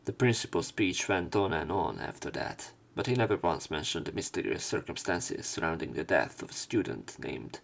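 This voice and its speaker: A man's voice: low-pitched, expressive, moderate pace, gravelly, few pauses. A man reading a visual novel aloud as a let's player, with casual commentary and joking asides. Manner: joking asides between read passages.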